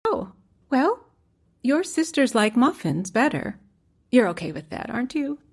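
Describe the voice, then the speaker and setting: An actress playing a falsely cheery, brisk stepmother in a radio drama. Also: high voice, very animated, breathy edge, exaggerated voice. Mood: brisk, dismissive.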